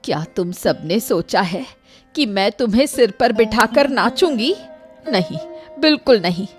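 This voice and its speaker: A woman plays a weary elderly village mother from a cartoon moral tale. She grumbles in a mid-pitched voice and takes her words slowly, with music playing underneath. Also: lively intonation, close to an everyday voice. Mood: bitter, complaining. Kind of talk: Hindi cartoon moral-story dialogue.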